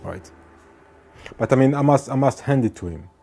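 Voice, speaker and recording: A man of mid-range pitch tells a personal story straight to the camera, chatty and lively, and keeps checking in with the listener. Faint background music plays under him. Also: moderate pace. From France. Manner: conversational, animated retelling with asides. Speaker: vlogger.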